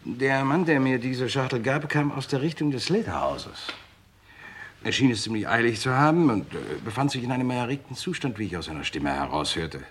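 A man's voice: mid-range voice, lively intonation, fairly quick, a little hiss or hum, natural voice. An actor playing a polite, wry, talkative crime writer who narrates his own case in a vintage German radio play. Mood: polite, eager. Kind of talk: 1950s-80s German radio crime drama acting.

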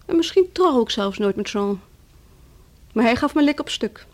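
An actress in a Dutch radio play playing a bitter, proud young wife who talks in a scornful, taunting way. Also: female voice, a little high, expressive, normal speed, natural voice. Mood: scornful mockery.

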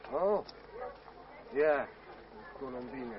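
Lilting, sly, mocking fop. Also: male voice, medium pitch, expressive, drawn-out words. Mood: feigned surprise. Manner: sly, insinuating. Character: foppish, gossipy, mocking male rival. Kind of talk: radio play acting. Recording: dull, very noisy old radio recording.

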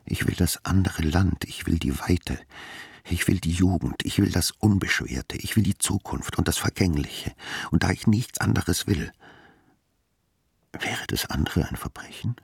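A weary middle-aged writer broods in a radio-play monologue. His voice is extremely low and very rough, recorded dry and close. He mocks himself and sounds full of longing. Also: measured pace, no room sound, heavily acted. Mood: confiding, longing. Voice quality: gravelly, breathy.

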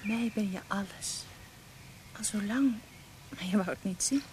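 A woman's voice: medium pitch, unhurried, natural voice. An actress playing a frank, devoted young woman in a radio play, teasing and affectionate. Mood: intimate, loving. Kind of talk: Dutch radio play acting. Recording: noisy old radio play recording.

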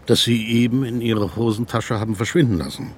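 An actor playing a stern, suspicious middle-aged army captain in a radio drama, with a husky, gravelly voice and an officious, sardonic way of giving orders. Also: male voice, medium pitch, steady tone, brisk, slight background noise, close to an everyday voice. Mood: suspicious, menacing. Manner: clipped commands, officious and sardonic. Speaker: company commander.

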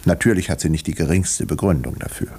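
An actor playing an urbane village doctor who narrates a 1950s radio drama with dry, measured irony. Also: male voice, sits low, darkish tone, a little hiss or hum. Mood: dry, wry. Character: wry, urbane village doctor telling his own story.

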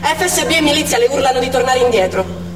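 A woman's voice: sits high, animated, quick pace. An actress on stage playing an anxious, protective mother and ambulance doctor, in dramatic present-tense monologue. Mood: alert, tense. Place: theatre stage. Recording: echoey theatre from far off, music behind.

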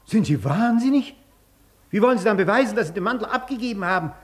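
An actor in a German radio play, playing an agitated, imperious gentleman doctor with a formal, demanding, impatient manner. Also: high voice, expressive, fast. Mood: indignant, impatient.

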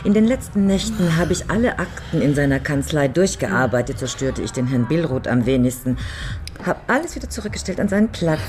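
A woman's voice: low voice, expressive, brisk, darkish tone, slight background noise. An actress playing a careful, methodical middle-aged woman who explains things drily, in a German radio crime drama. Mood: measured, explanatory. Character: careful, methodical lawyer woman, amateur sleuth friend.

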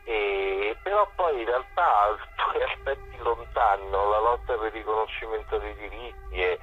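A man, a musician being interviewed on radio, explaining things in a halting, thoughtful way. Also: fairly low pitch, faint music behind. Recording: muffled, band-limited phone-like radio sound.

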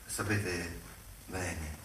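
An actor playing a falsely deferential, scheming servant in a theatrical monologue, repeating phrases obsessively in shifting tones. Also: male voice, low voice, steady tone, very slow, very echoey, far from the mic, noisy background. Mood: sly, needling.

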